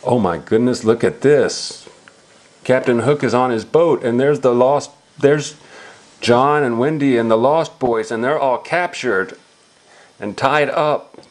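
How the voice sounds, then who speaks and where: A man's voice: mid-range voice, slow, long pauses. A man reading a picture book aloud to a young child, asking simple questions and exclaiming as he explains.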